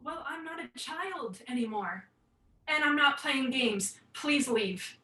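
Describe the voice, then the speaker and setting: Echoey room, woman playing uptight grown daughter, sharp bright voice, exasperated, clipped protests, stage acting. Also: high-pitched, expressive, takes it slowly, full character voice. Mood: fed up. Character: exasperated, uptight adult daughter and busy mother. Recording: echoey room, distant from mic.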